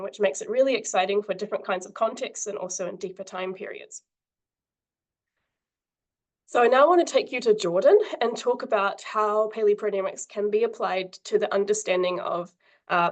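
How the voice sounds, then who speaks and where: Husky-voiced woman giving a talk. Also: mid-range voice. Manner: friendly, frequent ums and uhs. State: has a cold, husky voice. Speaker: invited conference speaker. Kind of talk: academic presentation over video call. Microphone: video call.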